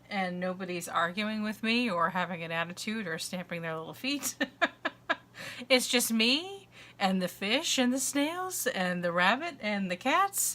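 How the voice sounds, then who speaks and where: A woman's voice: mid-range voice, bright, forceful tone. A middle-aged woman vlogging from home in a long, rambling casual chat, congested and fighting a dry cough, with many uhs and restarts. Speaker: crafter and vlogger. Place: at home, indoors.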